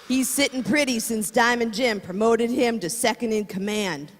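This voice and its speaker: A man's voice: very high-pitched, normal speed, a bit bright. A man playing a nosy, needling gangland sidekick in a comic old-time radio play. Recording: echoey hall with background noise.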